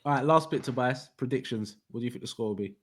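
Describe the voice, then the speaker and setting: A man's voice: mid-range voice, quick pace, husky. A man from hosting a casual football debate, rambling and restarting his sentences as he argues. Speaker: football podcast host.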